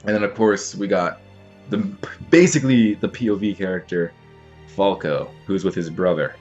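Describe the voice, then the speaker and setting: A young man reacts to an anime episode in a low voice, sounding a little stuffy from a cold. He rambles excitedly, with plenty of fillers and restarts. Show music plays faintly behind him. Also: moderate pace, lo-fi sound. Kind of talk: casual reaction commentary.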